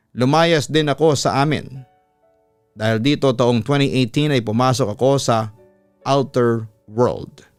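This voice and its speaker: A man narrates a listener's letter in a theatrical radio voice, his pitch leaping up for effect. His voice sits in the middle range and sounds dry and close to the mic. Soft music plays quietly behind him. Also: smooth, no echo. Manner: theatrical storytelling with sudden pitch lifts. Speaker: radio announcer and host. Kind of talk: dramatic reading of a listener's horror letter. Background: faint background music.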